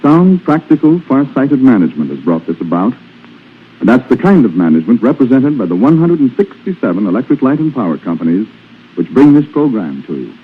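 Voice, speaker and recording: A man's voice: medium pitch, big pitch swings, fairly quick, husky, phone-quality sound. A man reading a scripted sponsor message as a commercial announcer on 1940s radio. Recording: thin, band-limited 1940s radio broadcast.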